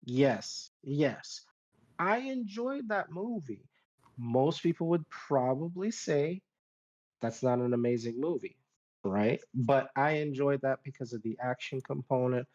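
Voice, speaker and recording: Guy on a podcast panel, mid pitched voice, kinda rambly opinions, qualifies stuff, dull lo-fi mic. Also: expressive, a little slow, darkish tone. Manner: rambling, qualifies his points. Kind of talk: casual opinionated chat.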